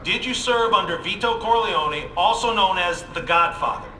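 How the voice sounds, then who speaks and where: An insistent senator in a crime game cutscene. His voice is high and bright with a sharp edge, echoing in a roomy hall. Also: lively intonation, normal speed, slight background noise. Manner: insistent, formal questioning. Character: pressing senator questioner man. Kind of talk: video game cutscene acting.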